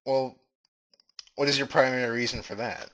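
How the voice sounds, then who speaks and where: A man's voice: mid-range voice, fairly even intonation, moderate pace, fairly bright tone. A man acting as an interviewer in a comedy skit, asking earnest, formal questions. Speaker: mock interviewer. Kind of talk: improvised mock interview.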